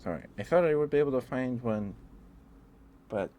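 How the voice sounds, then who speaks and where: A man's voice: medium pitch, animated, moderate pace, narrow, tinny audio, a little hiss or hum. A man livestreaming casual, rambling ASMR chat, talking to viewers in a hesitant, meandering, apologetic way.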